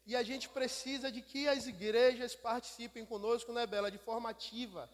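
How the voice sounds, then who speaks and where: A man's voice: extremely high pitch, fairly even intonation, moderate pace. A Brazilian man, a missionary, giving an emphatic church sermon as storytelling, repeating phrases for effect. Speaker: missionary speaker. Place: church hall. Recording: echoey hall with long reverb.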